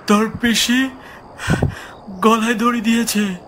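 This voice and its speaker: A man voicing a frantic teenage village boy who calls out breathlessly and urgently in an animated horror story. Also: very high-pitched, slow, a little hiss or hum, voice pushed up, heavily acted. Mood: urgent, grave.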